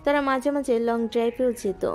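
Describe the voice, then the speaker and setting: A woman gently narrating a scripted romantic audio story. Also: fairly high pitch, steady tone, normal speed. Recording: music bed underneath.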